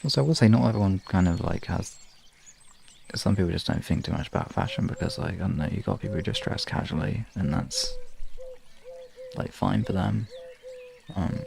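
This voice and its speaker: A man chatting casually to live viewers as a streamer, in a halting, rambling, informal way. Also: sits low, wildly swooping pitch, crowd noise. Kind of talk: casual livestream chat. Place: room in an apartment. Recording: clearly noisy home room recording.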